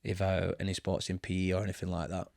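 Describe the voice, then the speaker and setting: A man in his thirties with a Barnsley accent, a guest in a casual interview, talking in a relaxed, rambling way with many ums. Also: sits low, quick pace, creaky, no echo, studio quality. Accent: Barnsley, Yorkshire. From England. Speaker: guest, football manager. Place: cluttered home studio, indoors. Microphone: studio mic with headphones.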